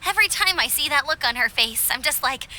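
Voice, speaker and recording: A woman voicing a friendly, earnest young adventurer in a game, with a casual, expressive, girlish delivery. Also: high-pitched, fairly quick, heavily acted. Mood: warm, playful. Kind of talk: scripted game voice acting.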